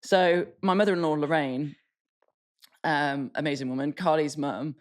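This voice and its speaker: A woman's voice: deep voice, fairly even intonation, normal speed. An English woman co-hosting a podcast, chatting casually in a blunt, self-mocking way and swearing freely. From England. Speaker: podcast co-host. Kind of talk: casual chat.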